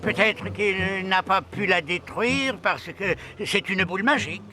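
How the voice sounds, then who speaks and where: A man's voice: high voice, measured pace. A man voicing a fussy, anxious toy creature with a pedantic, easily flustered manner in a cartoon dub. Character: fussy, anxious male toy creature, self-styled expert. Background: background music.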